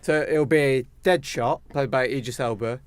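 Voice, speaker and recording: A man's voice: medium pitch, normal speed, clear, resonant tone, no room sound. Man chatting casually, close dry sound. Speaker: podcast co-host. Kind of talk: casual film news chat.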